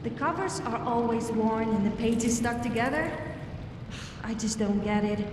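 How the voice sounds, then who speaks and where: Flippant young woman, fantasy game follower, acted by a woman, higher pitch, very echoey, loud rumbling noise. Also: measured pace, close to an everyday voice. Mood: dry, grumbling. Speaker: video game follower character. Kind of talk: scripted game dialogue. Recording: distant, echoing cavern reverb, loud rumbling noise.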